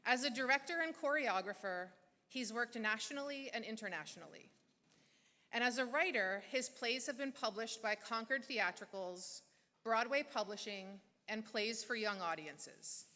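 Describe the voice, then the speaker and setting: Bright-voiced woman speaking in a reverberant hall. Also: a little high, lively intonation. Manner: measured, deliberate pauses. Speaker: event host. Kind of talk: scripted speech at a gala. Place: large church hall. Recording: long reverb of a large hall.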